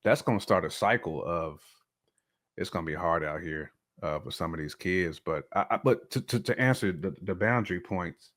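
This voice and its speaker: A man in his forties with a fairly low voice talks casually as a show co-host. He gives his opinions in colloquial language, often doubling back on his words as he works out a point. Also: moderate pace, a bit bright. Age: 40s.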